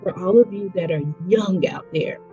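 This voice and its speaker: A woman in her forties giving an earnest, reflective personal talk, slowing down to stress her points. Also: mid-range voice, dark tone. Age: 40s. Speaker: education leader. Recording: dull lo-fi audio with faint music.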